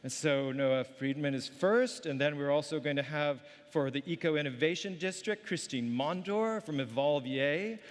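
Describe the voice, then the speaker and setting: A man hosting an event, introducing the speakers in an informal way with fillers. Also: on the high side. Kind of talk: introductory remarks. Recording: reverberant hall.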